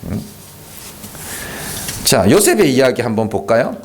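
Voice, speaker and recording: A man's voice: mid-range voice, measured pace, a little hiss or hum. A male pastor preaching a sermon at a Friday prayer meeting, speaking conversationally and emphatically, often firing rhetorical questions at the congregation. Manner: emphatic and animated. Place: church sanctuary.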